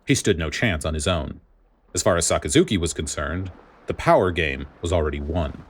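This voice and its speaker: A male narrator reads scripted fiction aloud. His voice is deep and low, and he delivers the prose as storytelling. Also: fairly quick.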